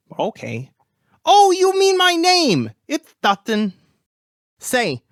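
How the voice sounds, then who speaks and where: A fussy young academic botanist from a zombie shooter game, acted by a woman. The pitch swings about in a very lively way, and the delivery is wordy and bookish with small stammers. The sound is close and dry. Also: no echo. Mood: wry, fussy, then chipper, eager. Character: eager, nerdy, fussy botanist doctoral student in a zombie shooter. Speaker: quest-giving game character. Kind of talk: scripted video game dialogue.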